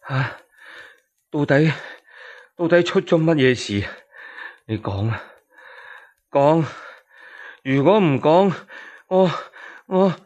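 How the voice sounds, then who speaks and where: A man voicing a sick, enraged old emperor in a radio storytelling drama, halting and groaning as he repeats himself. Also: a little high, animated, darkish tone, dull audio. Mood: suspicious, angry.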